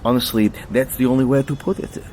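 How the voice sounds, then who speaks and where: A man's voice: medium pitch, fairly even intonation, fast, a little mellow, slight background noise, lightly acted. A man playing a wealthy, courtly client in an audio drama, effusive and pleased with himself, savoring his words. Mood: boastful, effusive. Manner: courtly, boastful, chuckling hesitations, savoring words. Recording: music under the voice.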